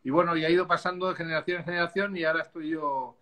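Spanish guy in his 50s, bright forceful voice, rambly and chatty, laughs a lot, video call. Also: fairly high pitch, fairly even intonation, very fast. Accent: Spanish, softened by years in Chile. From Spain. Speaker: winemaker guest. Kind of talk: casual video call interview. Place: dark room at home. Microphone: video call, laptop camera.

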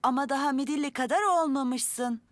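Woman playing a high-voiced, gentle young mother. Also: normal speed, no echo, natural voice. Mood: encouraging. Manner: gentle, encouraging. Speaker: actor in a dubbed children's show. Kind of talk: dubbed children's TV drama. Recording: music underneath.

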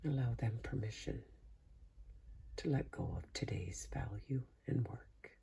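A man softly guiding a sleep meditation in a hushed, breathy voice that often sinks into a whisper, slow and soothing with long pauses. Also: lowish voice, lively intonation. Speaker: meditation guide. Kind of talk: guided sleep meditation, soft-spoken.

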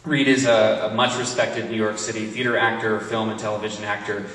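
A man presenting a cast to an audience in a halting way, with frequent pauses. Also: lowish voice, level tone, a crowd in the background. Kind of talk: spoken introductions to an audience. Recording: echoey hall, distant microphone.

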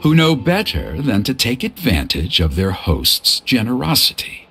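A man's voice: medium pitch, very animated, a little slow, bright, forceful tone, faint music behind, exaggerated voice. A man voicing a stern, protective middle-aged father and tribe elder in video game dialogue. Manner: gruff, then flustered and apologetic.

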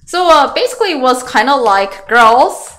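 A Korean woman in her thirties, a Go teacher answering chat questions on a webcam video call, chatty and halting in English with a Korean accent. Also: sits high, very animated, fairly bright tone. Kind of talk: live online lecture Q&A. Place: small room indoors.

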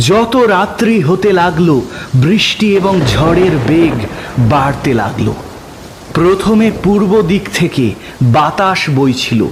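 A man narrating a literary story in the first person, slow and measured, with his pitch dropping low at the ends of phrases. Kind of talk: scripted audiobook narration.

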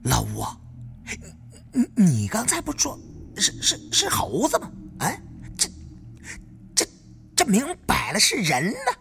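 A man's voice: sits high, huge pitch swings, creaky, fairly bright tone, a little hiss or hum, voice pushed up, exaggerated voice. Guy putting on a flustered stammering treasure hunter in an audiobook, voice swings up and down. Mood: flustered, shocked. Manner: halting, flustered. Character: stammering, jittery, curious male tomb-raiding buddy.

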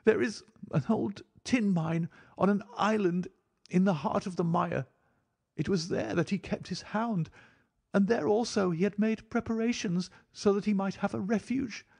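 Guy doing a bitter wronged victorian lady for an audiobook, voice way up high, passionate and formal. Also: moderate pace, gravelly, darkish tone, husky, exaggerated voice. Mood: urgent. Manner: impassioned, exclamatory, formal old-fashioned phrasing. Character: anguished, bitter, abused Victorian wife, passionate and breathless. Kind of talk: dramatic audiobook character acting.

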